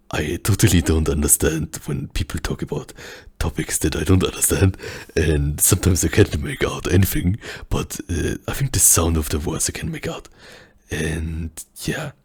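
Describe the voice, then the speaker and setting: A man from a German-speaking country with a German accent, speaking softly and often in a whisper as he answers viewer questions in a hesitant way, with many pauses. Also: a bass voice, expressive, breathy edge. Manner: hesitant, many fillers, searching for words. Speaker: ASMR channel host. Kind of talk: soft-spoken ASMR Q&A.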